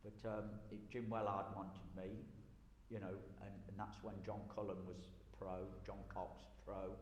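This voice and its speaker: A man's voice: fairly low pitch, level tone, unhurried. Rambling man, echoey hall. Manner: rambling, repeats phrases as he goes. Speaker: interviewee, former pro boxer and amateur coach. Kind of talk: casual reminiscing chat. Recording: echoey hall with faint background noise.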